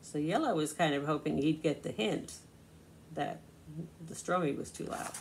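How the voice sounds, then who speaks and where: A woman in her sixties reading a picture book aloud to young children, deliberate and expressive, pausing to explain. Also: low-pitched. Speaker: children's storybook reader. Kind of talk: read-aloud storytelling to young children with asides. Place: small living room, indoors. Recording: noisy amateur home recording.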